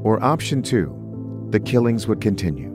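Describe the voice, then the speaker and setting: A male podcast narrator with a deep voice tells a scripted true-crime story. A music bed plays clearly behind him. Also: sing-song, moderate pace.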